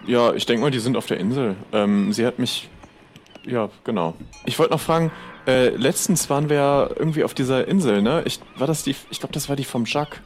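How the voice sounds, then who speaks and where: A man's voice: medium pitch, fairly quick, a little hiss or hum, lightly acted. A man playing a naive, easygoing pirate deckhand in an improvised audio adventure, talking in a hesitant, rambling slacker way. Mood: unsure. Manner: hesitant, rambling, laid-back slacker talk.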